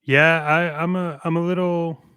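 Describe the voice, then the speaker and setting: Clear-voiced American man, casual co-host. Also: fairly high pitch, flat delivery, a little slow, bright, forceful tone, no room sound, clean studio sound. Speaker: co-host of a toy collecting show. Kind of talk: casual radio-show chat.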